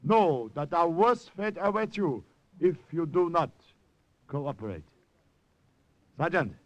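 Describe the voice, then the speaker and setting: A man's voice: a little high, expressive, takes it slowly, no room sound. A man playing a menacing, suspicious Japanese colonel in a 1950s radio drama, formal and imperious. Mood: cold command. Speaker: actor. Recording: dry vintage radio recording.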